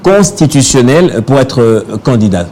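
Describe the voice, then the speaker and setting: Animateur radio, un homme, pose ses questions, petites relances. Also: male voice, medium pitch, sing-song, moderate pace, warm tone, slight background noise. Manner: asks questions, brief interjections. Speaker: radio host. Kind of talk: morning radio interview.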